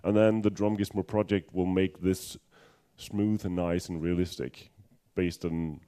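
A man's voice: sits low, fairly even intonation, a little slow, warm tone, smooth. A man from Denmark with a Danish accent giving a technical conference talk, hesitant with many ums and restarts and a dry humor. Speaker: conference speaker.

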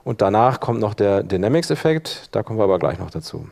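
A man giving an informal live software tutorial as workshop instructor, thinking aloud while he demonstrates. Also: lowish voice, very animated, fast, gravelly.

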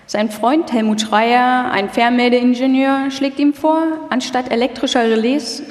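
Female documentary narrator, fairly high voice, live scripted read, long hall reverb. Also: steady tone, measured pace.

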